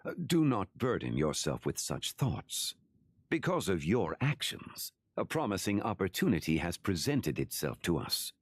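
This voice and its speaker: A man playing an ancient, composed sage in a video game, speaking formally and with measured gravity. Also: low-pitched, big pitch swings, husky, exaggerated voice. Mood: solemn.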